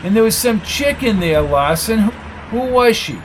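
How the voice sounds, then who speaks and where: A man's voice: high-pitched, lively intonation, moderate pace, slight background noise, voice pushed up. A man voicing a hot-tempered, bullying tough guy in an audiobook, blunt, slangy and snapping. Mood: aggressive.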